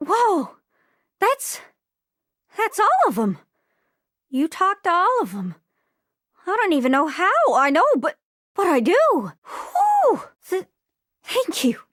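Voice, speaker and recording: A very high, swooping young voice for a video game globe come to life. She is excitable and sweet, chatting and gushing in a goofy, self-deprecating way. The sound is close and dry. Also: dry recording. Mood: touched, bashful. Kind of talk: video game character voice acting.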